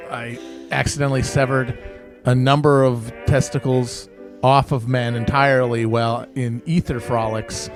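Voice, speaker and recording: A man playing a tabletop game, giving a comic introduction of his character. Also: medium pitch. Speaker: player in a comedy tabletop game. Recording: clear music bed under the voice.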